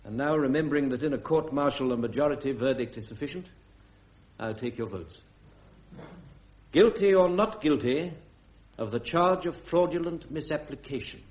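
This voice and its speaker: Casting: male actor as stern military court president, deliberate, authoritative, fairly high male voice, muffled radio play. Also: animated, darkish tone, close to an everyday voice. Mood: grave, formal. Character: stern, measured presiding officer of a court-martial. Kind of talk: radio drama acting. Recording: dull, muffled radio drama recording.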